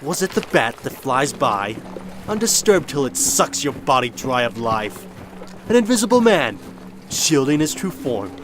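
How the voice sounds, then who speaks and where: An actor playing a grim, commanding monster hunter who questions imperiously in a radio drama. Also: male voice, mid-range voice, sing-song, unhurried, noisy background. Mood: dramatic, probing. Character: commanding male monster hunter, grim, world-weary interrogator. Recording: loud music behind the voice.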